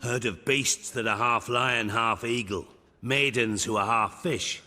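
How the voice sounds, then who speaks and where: A man's voice: mid-range voice, a little slow, sharp tone, long reverb tail. An actor playing a sly, sarcastic and urbane male schemer in a video game, speaking with florid mock politeness and a crude streak. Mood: sarcastic, skeptical.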